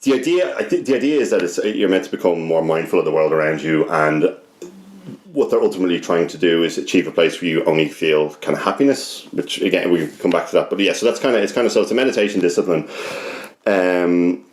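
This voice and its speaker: A man's voice: deep voice, lively intonation, fast talker. An Irish man telling a personal story as a podcast guest, casual, self-deprecating and sweary, rambling with plenty of hesitation. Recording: roomy, echoing podcast recording.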